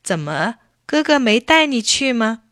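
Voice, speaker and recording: A woman voicing a kindly, patient old grandfather in a children's story, gently coaxing and instructing. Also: on the high side, big pitch swings, unhurried, dry recording, studio quality, pitched above the performer's own voice. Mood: concerned.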